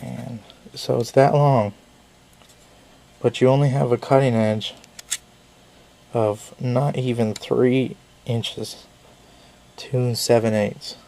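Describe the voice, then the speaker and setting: A man with a deeply gravelly, fry-laden voice talks through a knife review in a loose, rambling way, often correcting himself mid-sentence. He sounds a little under the weather, as if losing his voice, and keeps a plain, unpolished delivery. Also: steady tone, slow, long pauses, warm tone. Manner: rambling, self-correcting explanations. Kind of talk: casual product review.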